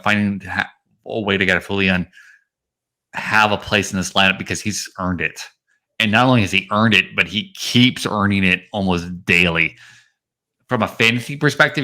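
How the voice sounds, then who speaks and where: A man with a lowish voice and a forceful, bright edge co-hosts a fantasy baseball show. He talks through his analysis casually and loosely, stressing the points that matter to him. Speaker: podcast co-host.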